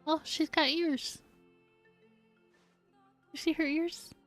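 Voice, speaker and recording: Fairly high-voiced woman streaming a game. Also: steady tone, slow pace, a bit bright. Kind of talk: casual live game commentary. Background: game music.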